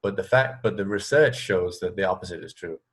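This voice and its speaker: A man's voice: sits low, fairly quick. A man hosting a casual radio interview, talking in a thoughtful, hesitant and rambling way.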